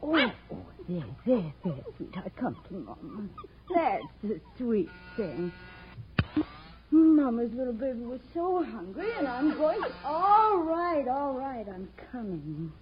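An actress playing a brassy, scheming widow in 1940s radio crime style, sliding between sugary cooing and sneering. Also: female voice, high-pitched, big pitch swings, takes it slowly, background noise, exaggerated voice. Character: brassy, scheming gold-digger widow, cooing over her lapdog. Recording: muffled old-time radio recording.

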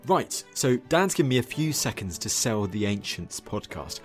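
A man's voice: mid-range voice, sing-song, normal speed. A man who hosts a podcast, giving an upbeat, conversational promo for it. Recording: music bed behind the voice. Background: music.

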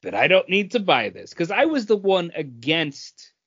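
A man's voice: high-pitched, big pitch swings, normal speed, a bit bright, husky, no room sound. A man co-hosting a podcast, explaining things casually and often repeating himself. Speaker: podcast co-host. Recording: dull, lo-fi sound.

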